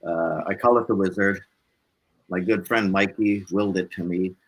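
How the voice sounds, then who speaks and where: A man's voice: sits low, fairly even intonation, moderate pace, rough recording. A man speaking as a musician guest in a casual interview, telling stories in a rambling, easygoing way. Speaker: musician guest on a talk show.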